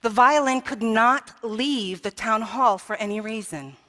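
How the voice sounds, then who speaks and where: A woman minister with a fairly high voice delivers a sermon from the pulpit. She tells a story, asks rhetorical questions and rises to emphatic peaks. Her voice carries a long reverb from the church sanctuary. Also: a little slow, pushed and bright.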